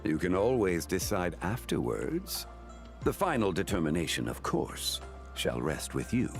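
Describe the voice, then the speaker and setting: A calm, unhurried deity for a fantasy game. The voice sits very low and moves expressively through courteous, formal sentences. Faint music plays behind it. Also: male voice, gravelly, heavily acted. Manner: formal, measured, courteous diction. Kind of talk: video game voice acting.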